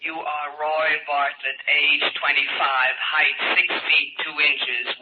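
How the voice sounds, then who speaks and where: A man's voice: on the high side, lightly acted. A man playing an impersonal city computer in a radio drama, reciting formally and without emotion in clipped official phrases. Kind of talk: 1950s radio drama acting. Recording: noisy, narrow-band 1950s radio recording.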